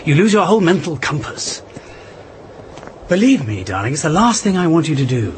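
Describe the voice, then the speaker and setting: A man's voice: a little high, very animated, normal speed, a little mellow, slight background noise. An actor playing an anxious, reluctant British intelligence man and protective husband in a radio drama, hesitant and earnest, with clipped old-fashioned speech.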